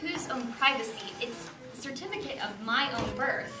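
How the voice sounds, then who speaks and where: A woman's voice: on the high side, animated, normal speed, natural voice. Actress doing earnest stubborn young adopted woman in a play, echoey room, noisy recording. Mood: hesitant, questioning. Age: young adult. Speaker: stage actor. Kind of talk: one-act stage play, dialogue and monologue. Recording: distant echoey room recording, clear background noise.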